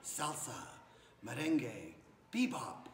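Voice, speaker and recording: A man's voice: mid-range voice, very animated, slow. A man in his forties reading a children's picture book aloud. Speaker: school staff member reading aloud. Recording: echoey room with faint noise.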